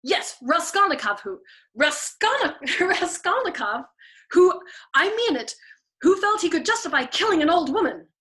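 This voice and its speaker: An excitable, self-righteous young airman, voiced by a woman reading a novel aloud, shrill and emphatic as he argues. His voice sits high and bright and swoops all over the place. It is a storybook reading voice. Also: normal speed, heavily acted. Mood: heated.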